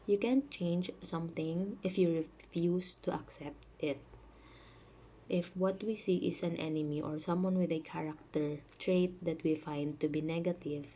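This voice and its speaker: A woman with a low voice and a soft, dark, mellow tone. She is sick and talks in a chatty way with many fillers, explaining ideas to her viewers. Faint room noise is heard behind her. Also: steady tone, unhurried. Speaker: channel host. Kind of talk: casual spiritual talk to camera. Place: home, dogs nearby.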